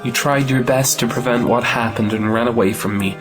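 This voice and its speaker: An actor playing an impetuous, possessive young gentleman suitor in an audio play, theatrical and emphatic. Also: medium pitch, fairly even intonation, brisk, over music, lightly acted. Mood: earnest. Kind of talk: audio play acting of a 1910s stage comedy.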